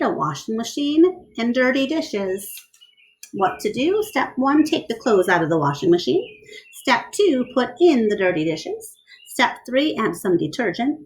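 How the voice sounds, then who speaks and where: A woman's voice: a little high, poor audio. Woman reading aloud expressively to children. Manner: questioning, explains to young listeners. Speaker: read-aloud storyteller. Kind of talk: expressive picture-book read-aloud for children, with chatty asides.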